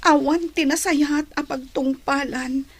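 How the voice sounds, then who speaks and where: A woman's voice: high voice, steady tone, slow. A woman playing a humbled, remorseful grown daughter in a radio drama.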